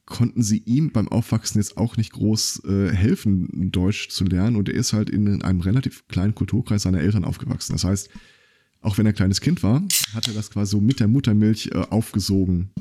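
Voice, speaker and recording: Low, mellow man chatting on a podcast. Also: brisk, slightly rough. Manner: rambling storyteller, many fillers and restarts. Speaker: podcast host. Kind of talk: casual podcast chat.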